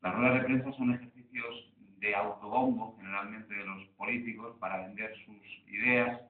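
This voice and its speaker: A man speaking as a journalist on a conference panel, explaining at a measured pace with frequent hesitant fillers and talking straight to the audience. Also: on the low side, fairly even intonation. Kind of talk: round-table conference talk. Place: conference hall. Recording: echoey hall, far from mic, phone-like lo-fi sound.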